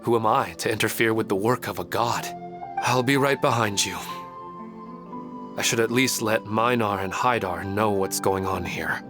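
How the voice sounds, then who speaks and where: A man playing a polite, resigned ordinary father in a video game, speaking in a gentle, measured, self-effacing way. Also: fairly low pitch, music in the background, lightly acted. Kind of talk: English video game voice acting.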